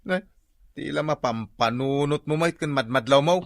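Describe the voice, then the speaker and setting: An actor playing a teasing, argumentative husband in an Ilocano comedy radio drama, with an Ilocano accent. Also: male voice, mid-range voice, normal speed, gravelly, no echo, studio quality, lightly acted.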